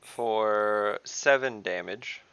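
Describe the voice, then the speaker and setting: Bright, gravelly man playing a tabletop game. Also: on the low side, extremely slow, dry recording. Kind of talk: casual online game chat.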